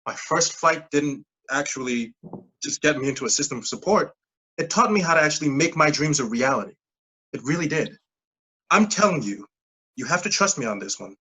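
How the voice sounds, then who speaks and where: A persuasive scheme recruiter played by an actor in a staged play reading. The voice sits at a middling pitch with a slightly husky edge, pressing insistently in clipped phrases while dodging plain words. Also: expressive, moderate pace, bright, forceful tone, poor audio. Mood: persuasive, intense. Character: smooth-talking, pushy pyramid-scheme recruiter mentoring a junior coworker.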